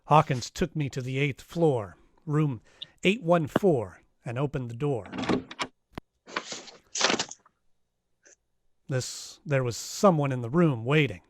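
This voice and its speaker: A man's voice: medium pitch, measured pace, slight background noise, natural voice. A man playing an unflappable, wisecracking private detective who also narrates in a first-person noir radio style. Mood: wry narration. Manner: dry, wisecracking.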